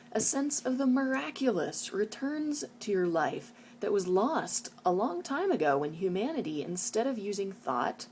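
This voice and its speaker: A woman with a soft-edged, mellow voice at a medium pitch speaks in an earnest, reflective way, like a vlogger sharing ideas from a book. There is noticeable noise in the recording. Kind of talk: reading book passages aloud and informal reflective talk.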